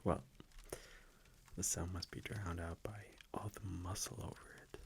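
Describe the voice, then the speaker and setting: A man playing a tender, teasing vampire boyfriend in an intimate ASMR audio roleplay, soft-spoken and breathy, with slow, lingering pauses. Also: sits low, fairly even intonation. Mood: gently teasing. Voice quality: breathy, whispery.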